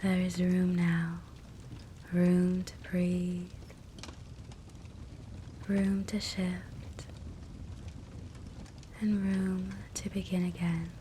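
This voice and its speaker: A woman guiding a sleep hypnosis meditation in a soft, breathy whisper, gentle and soothing. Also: fairly low pitch, drawn-out words, long pauses. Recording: soft steady fireplace crackle noise. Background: fireplace ambience.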